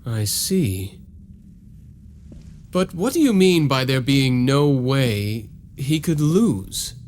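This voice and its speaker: A man's voice: mid-range voice, very animated, extremely slow, a little mellow, a little hiss or hum. An actor playing an earnest, determined nobleman turned vampire hunter in a video game, speaking directly. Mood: earnest, resolute. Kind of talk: video game cutscene voice acting.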